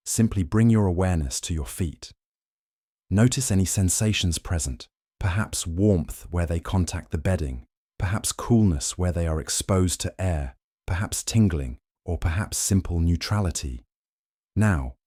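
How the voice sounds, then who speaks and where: Deep, dark-voiced man guiding sleep meditation. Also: no echo, clean studio sound. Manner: slow, soothing, measured. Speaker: meditation guide. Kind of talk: scripted guided sleep meditation.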